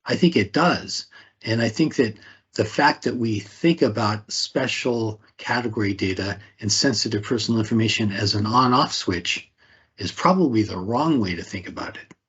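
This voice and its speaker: A man's voice: fairly low pitch, normal speed, a bit bright, breathy edge, highs cut off. A man leading a talk on privacy, posing rhetorical questions and often tagging them with "right?". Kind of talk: conversational presentation.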